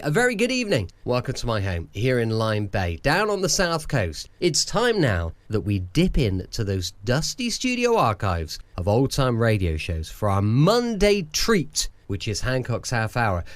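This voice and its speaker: Animated radio host, dry studio sound. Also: male voice, mid-range voice, no echo. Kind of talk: chatty introduction to an old-time radio show. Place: home studio.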